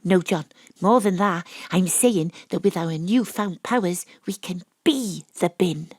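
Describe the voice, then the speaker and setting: A brash female amateur detective, narrates her investigation in a fairly low voice. She is theatrical, knowing and conspiratorial, in the style of an audio drama, with a very dry, close sound. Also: measured pace, a little mellow, husky, no echo. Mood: confident explaining.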